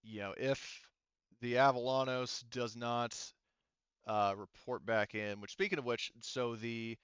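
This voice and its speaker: A man game-mastering a tabletop game, explaining things in a halting, rambling, casual way. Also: medium pitch, fairly even intonation, a bit bright, clean tone, no echo, muffled recording.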